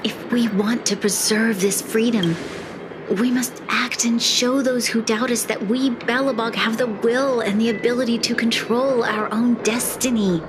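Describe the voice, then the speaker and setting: A woman playing an earnest, resolute young female leader in a video game cutscene, speaking in a measured, formal way. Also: fairly high pitch, fairly even intonation, lightly acted. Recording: loud game music behind the voice. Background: music.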